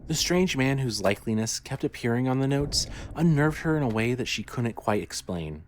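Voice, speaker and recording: A man's voice: medium pitch, slight background noise. A man reading scripted horror fiction as the narrator of an audio story.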